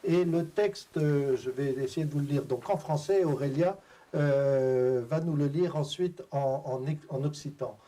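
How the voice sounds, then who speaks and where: A man's voice: a little high, a little slow, mellow. A middle-aged man moderating a live round-table, speaking hesitantly with many fillers.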